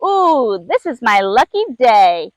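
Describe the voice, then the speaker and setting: Storybook animal: small male lemur played by a woman, high, chirpy and plucky, dry close sound. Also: very animated, slow, no echo. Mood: delighted. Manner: chirpy, exclaiming. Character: carefree little male lemur, plucky and defiant.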